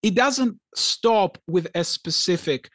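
Halting high-voiced man from Greece. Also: lively intonation, fairly bright tone, no echo, clean studio sound. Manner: halting, many restarts and fillers. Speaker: podcast co-host. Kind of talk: casual chat.

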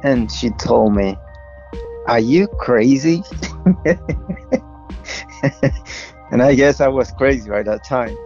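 A man from Honduras with a Honduran Spanish accent, speaking English as a second language, slowly and haltingly recalling his life in an interview. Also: mid-range voice, animated, lo-fi sound, faint music behind. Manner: slow, halting, with pauses and soft laughs. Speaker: interviewee, widower. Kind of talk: personal recollection in an interview.

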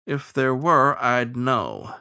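A man's voice: mid-range voice, huge pitch swings, gravelly, sharp tone, smooth, heavily acted. A man voicing an easygoing, protective otter riverboat captain in a storybook, with folksy, rustic talk. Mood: defiant. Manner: folksy, unhurried, rustic grammar.

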